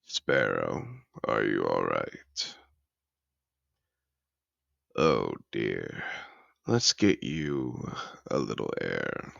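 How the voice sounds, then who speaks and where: A man playing a seductive, possessive dragon in human disguise in an intimate fantasy audio roleplay, teasing and courtly in a slow, gravelly murmur. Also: big pitch swings, a bit bright, no echo, dull audio, exaggerated voice. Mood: soothing, apologetic. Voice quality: very deep, gravelly. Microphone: close, dry microphone.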